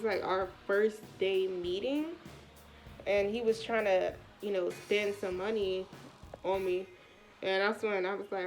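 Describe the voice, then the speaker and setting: An American woman vlogger with a congested, stuffy voice, chatting casually through a personal story in colloquial speech and acting out the dialogue as she goes. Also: mid-range voice. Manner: colloquial, animated retelling with quoted dialogue.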